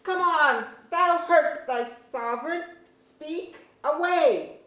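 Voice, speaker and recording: A woman's voice: sits high, slow pace, fairly bright tone. A woman playing an imperious magistrate in an archaic verse drama, with a commanding, declamatory delivery. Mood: stern. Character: imperious magistrate barking orders in archaic English. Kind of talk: staged reading of pseudo-Elizabethan verse drama. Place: museum auditorium, live reading. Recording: echoey auditorium with background hiss.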